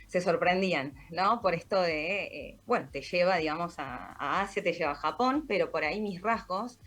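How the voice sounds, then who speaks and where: Low-voiced Argentine woman, reflective. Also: normal speed, fairly bright tone. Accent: Rioplatense Spanish. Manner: reflective, explanatory, frequent "¿no?" tags. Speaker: podcast creator, guest.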